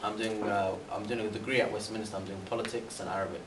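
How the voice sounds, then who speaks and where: Young man, rambling with fillers, quick delivery, echoey room, distant mic, faint hiss. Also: lowish voice. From Britain. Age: young adult. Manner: rambling with many fillers and restarts, animated hand gestures. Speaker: guest speaker, university student. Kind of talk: seated talk answering questions. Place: large room with a banner, indoors. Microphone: far camera.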